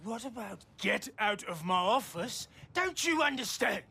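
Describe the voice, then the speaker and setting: A hostile, irritable dwarf official in a video game. The voice is high, raspy and sharp, snapping out words. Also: male voice, animated, unhurried, exaggerated voice. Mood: irritated. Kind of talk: video game character acting.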